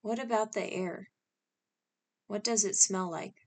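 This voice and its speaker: Slow, creaky-voiced woman guiding meditation. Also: mid-range voice. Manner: calm, instructional, with short spaced phrases. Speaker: meditation guide. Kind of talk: scripted guided meditation.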